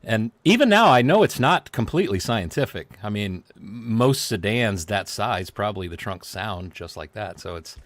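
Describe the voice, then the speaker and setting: Low-voiced man, dry close sound. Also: lively intonation, normal speed, few pauses, a bit bright, no room sound. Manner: rambling, restarts, storytelling. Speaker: guest. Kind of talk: casual chat.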